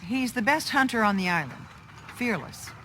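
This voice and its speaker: A tired but steady lady running a harbor town in a game, firm, plain talker, faint noise behind. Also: a little high. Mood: advising, earnest. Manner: measured, firm, plain-spoken. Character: level-headed, weary woman leader of a harbor town. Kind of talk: video game character acting.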